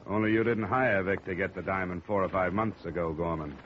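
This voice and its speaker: Actor as a low-voiced hard-boiled American detective. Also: male voice, fairly even intonation, a little fast, lightly acted. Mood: wry, pressing. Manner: hard-boiled, sardonic, terse. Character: wry, tough, world-weary male insurance investigator. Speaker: lead actor in radio detective drama. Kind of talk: 1950 radio acting, first-person narration and dialogue. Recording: muffled, noisy old radio recording.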